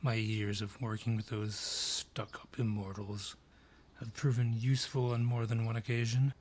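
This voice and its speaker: A man's voice: fairly low pitch, level tone, normal speed, a little mellow, lightly acted. An actor voicing a haughty male dryad with a grudge in an audio roleplay, sardonic and theatrical, drawing out each taunt. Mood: sardonic, contemptuous. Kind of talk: scripted audio roleplay acting.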